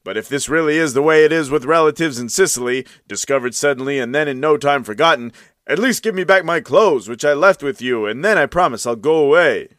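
A man's voice: fairly high pitch, expressive, fairly quick, fairly bright tone, no echo, clean studio sound, voice pushed up. A man voicing a duped young man who protests and pleads to be let in. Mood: pleading.

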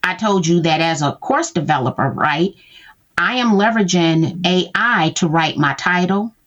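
Woman guest, lower voice, lo-fi call sound, explanatory, chatty check-ins. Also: animated, normal speed, a bit bright. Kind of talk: conversational interview.

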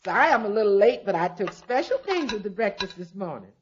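A woman's voice: medium pitch, big pitch swings, fairly quick, close to an everyday voice. A woman playing a deferential household cook with short, obliging replies in a 1940s radio comedy. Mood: cheerful. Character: cheerful, deferential household cook, quietly put out. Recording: muffled, noisy old radio recording.

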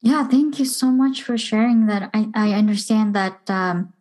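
A woman's voice: medium pitch, normal speed, darkish tone. Polite woman interviewer. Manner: polite and appreciative. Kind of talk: interview questions.

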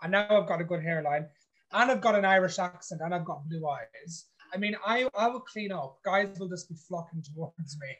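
A man's voice: high voice, moderate pace, fairly bright tone, lo-fi sound. A man, a reality show contestant, chatting in an interview in an outspoken, blunt way, swearing freely. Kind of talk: casual interview chat.

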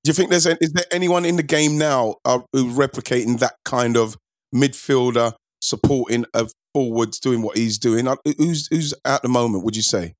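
A man hosting a casual football podcast, laid-back and affectionate, quick with short agreements. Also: medium pitch, no echo, clean studio sound. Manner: laid-back, affectionate, lots of "yes" and "nice one". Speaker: podcast host.

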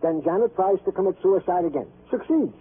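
A man playing a chatty, proud, comical American police sergeant in an old radio drama, husky and pompously folksy. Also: high voice, expressive, brisk. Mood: earnest. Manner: pompous mock-formal wording, folksy. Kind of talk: 1950s radio comic-relief acting. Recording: muffled vintage radio broadcast recording.

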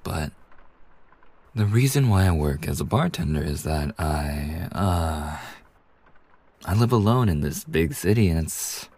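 A man acts out a kind young male bartender for an ASMR roleplay. His voice is deep and soft, with a husky breathiness. He takes his time, pausing hesitantly, and stays polite and attentive throughout. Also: exaggerated voice. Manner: courteous, soothing, hesitant pauses. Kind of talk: ASMR roleplay, soft intimate scripted acting.

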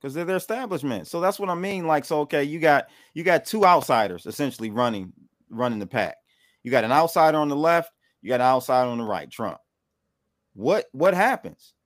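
A man's voice: a little high, expressive, brisk, dry recording. Blunt co-host man, dry close sound. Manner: agreeable, frustrated, blunt. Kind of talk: casual political chat.

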